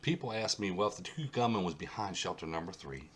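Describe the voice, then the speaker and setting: A man with an extremely low voice, stuffed up and congested, hosts his own history channel. He walks through a map and keeps hammering home his points by repeating them. A faint hum sits under the recording. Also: lively intonation, fast, fairly bright tone. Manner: insistent, repeats points for emphasis. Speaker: host of a history mystery channel. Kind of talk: solo video talk over a map.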